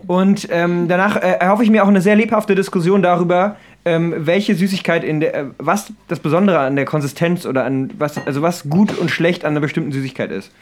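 A young man co-hosting a podcast in casual chat, explaining things in a halting, loosely phrased way. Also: high voice. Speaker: podcast co-host. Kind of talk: casual chat among friends.

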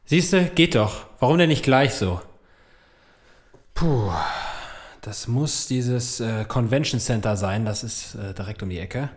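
A man's voice: medium pitch, a little slow, lightly acted. A man playing a bewildered, grumbling podcaster on an adventure in a comedic audio drama, muttering colloquially. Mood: exasperated. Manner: muttering to himself, colloquial, exasperated. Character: bewildered young male podcaster, grumbling, dazed adventure hero.

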